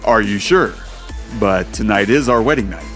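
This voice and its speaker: An actor playing a confident, protective, wealthy husband in an audio drama, with a commanding manner. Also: male voice, lowish voice, expressive, moderate pace, a bit bright, faint music behind. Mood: protective, teasing.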